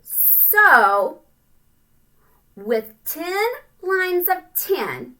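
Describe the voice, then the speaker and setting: Woman performing a tiny storybook ant, bossy and excitable, very high and bright, urgent exclamations. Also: full character voice. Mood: alarmed, urgent. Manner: exclaiming, urgent, drawn-out rhyming delivery. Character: bossy, excitable littlest ant in a picture book.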